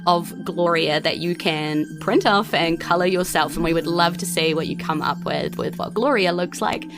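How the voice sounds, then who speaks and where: A woman's voice: fairly low pitch, moderate pace. New woman narrating over music. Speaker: game master. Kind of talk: descriptive tabletop game narration. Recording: clear music bed behind the voice. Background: background music.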